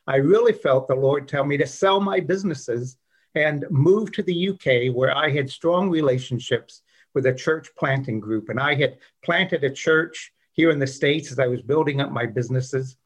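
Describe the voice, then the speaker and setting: A man's voice: mid-range voice, moderate pace. A man in his sixties, a guest on an interview show, telling his life story in a genial, folksy way. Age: 60s. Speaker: guest, investor.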